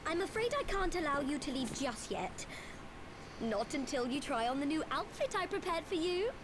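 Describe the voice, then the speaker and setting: A woman's voice: high voice, sing-song, normal speed. An actress playing a perky, insistent little female outfitter in a fantasy game. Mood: playfully insistent. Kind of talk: fantasy game cutscene acting. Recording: quiet game audio under loud noise.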